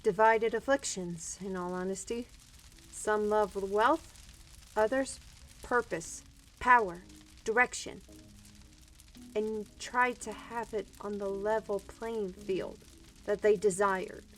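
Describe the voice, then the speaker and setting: Bold young fantasy heroine played by a woman, unhurried. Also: medium pitch, fairly even intonation, a bit bright, a little hiss or hum, rough recording. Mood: earnest, reflective. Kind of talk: fantasy audio roleplay acting.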